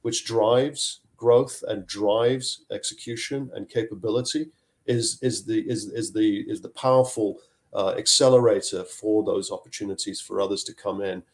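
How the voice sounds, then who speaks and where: Man from Zambia, low-voiced tech guest. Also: steady tone, normal speed, a little mellow. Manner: thoughtful, repeats words while searching for phrasing. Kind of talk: video call interview. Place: home office. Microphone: video call.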